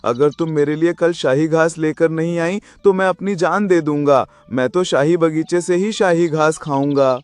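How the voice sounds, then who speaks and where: A man's voice: fairly high pitch, moderate pace, few pauses, smooth, no room sound, natural voice. A man voicing a stubborn young goat kid who whines to his mother in a Hindi cartoon. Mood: whiny. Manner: whiny, insistent.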